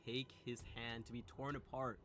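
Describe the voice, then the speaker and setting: A man's voice: mid-range voice, flat delivery, moderate pace, sharp tone. A man co-hosting a visual novel stream in casual chat. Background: music.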